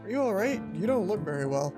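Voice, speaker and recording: A woman's voice: mid-range voice, big pitch swings, fast talker, close to an everyday voice. Woman doing a kind, earnest student librarian boy. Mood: gentle concern. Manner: earnest, reassuring. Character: kind, bookish young male student librarian. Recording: music under the voice.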